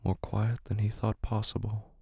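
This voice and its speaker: A man narrating scripted horror fiction dramatically, in short, deliberate, measured phrases. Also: fairly low pitch, little pitch movement, gravelly, dark tone, breathy edge, dry recording, clean studio sound. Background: prominent music.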